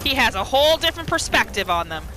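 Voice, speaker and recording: Woman, game roleplay commentary, very animated pitch, exclaiming, talks to characters, noisy game ambience. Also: quick pace. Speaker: gamer narrating a roleplay playthrough. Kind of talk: reactive let's-play commentary.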